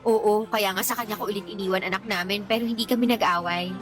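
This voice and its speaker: A woman's voice: medium pitch, fairly even intonation, quick pace, lightly acted. A woman playing a petulant, jealous young mother venting to a friend in a Tagalog radio drama, whiny, blunt and slangy. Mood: sulky. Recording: music behind the voice.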